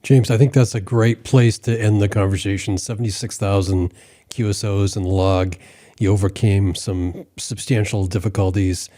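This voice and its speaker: A man with a fairly low and very mellow voice hosts an interview. He speaks in a measured, conversational way, with a soft, dark tone. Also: fairly even intonation. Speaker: interviewer, host.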